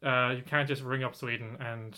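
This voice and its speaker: A man's voice: medium pitch, fairly even intonation, normal speed, sharp tone, smooth. A man co-hosting a podcast, reading out a news story in casual chat, rambling with many restarts. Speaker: podcast co-host.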